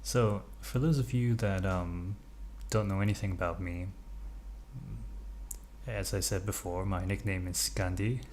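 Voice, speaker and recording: A young Englishman with a very low voice, talking slowly and hesitantly through his vlog. He pauses often and fills the gaps with ums. A faint hum of the room sits behind him as he stretches. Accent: English with Norwegian upbringing. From England. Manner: relaxed, hesitant, many ums and uhs. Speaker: vlogger. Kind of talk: casual vlog narration. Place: home room, while stretching.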